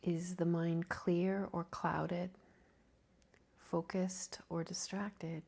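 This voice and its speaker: A woman guides a deep-rest meditation as a yoga nidra teacher. Her voice sits slightly low and moves at a very unhurried pace. The delivery is gentle and calming, with a soft, breathy touch.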